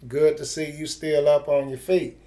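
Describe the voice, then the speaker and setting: A man's voice: a little high, flat delivery, moderate pace, mellow. A middle-aged man, interviewing in a casual, slangy and profane street-talk style. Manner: informal, slang-heavy, profane.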